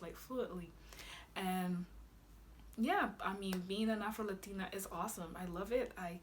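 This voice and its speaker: A woman's voice: mid-range voice, fairly bright tone, slight background noise. A young woman from Honduras vlogging casually to camera in her room, chatty, with many fillers and frequent laughs. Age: young adult. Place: bedroom with a flag behind her.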